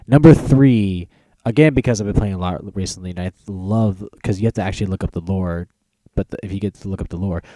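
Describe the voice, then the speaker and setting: Male podcast host, low and relaxed, closely recorded and dry, casual countdown style with halting, repetitive phrasing. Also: dry recording.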